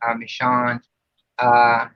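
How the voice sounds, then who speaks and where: A man's voice: mid-range voice, monotone, slow pace, smooth, no room sound. A man from Puerto Rico, a podcast guest talking casually about his childhood, with many fillers and restarts. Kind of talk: casual interview. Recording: dull lo-fi sound.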